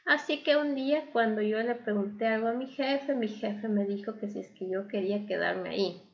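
A woman's voice: medium pitch, normal speed, vocal fry, highs cut off. A young adult woman living abroad, speaking candidly and thoughtfully in a casual interview, with many fillers. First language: not German. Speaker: interview guest.

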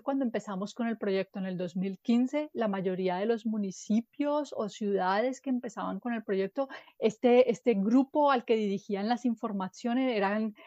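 A woman from Colombia, a guest from a nonprofit, answering interview questions in a measured, explanatory way with fillers and restarts. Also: mid-range voice, mellow, dry recording, lo-fi sound. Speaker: guest from an NGO.

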